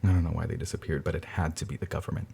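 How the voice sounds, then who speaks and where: An actor playing a grieving man determined to find his missing sister, speaking quietly and resolutely. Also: extremely low pitch, steady tone, very fast, vocal fry, slight background noise.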